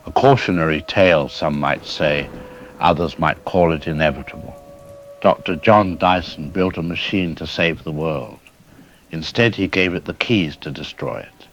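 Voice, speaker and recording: Very deep, suave, sinister storyteller played by a man. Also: fairly bright tone, rough recording. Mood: mock-courteous, wry. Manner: suave, musing, ominous. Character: sardonic, urbane, sinister host of dark tales. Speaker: narrator. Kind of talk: theatrical scripted audio drama narration.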